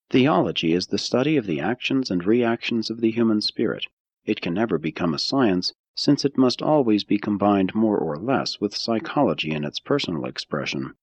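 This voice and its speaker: A man reading a scripted audiobook of religious philosophy in a measured, solemn, deliberate way. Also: fairly low pitch, husky, no echo. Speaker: audiobook narrator.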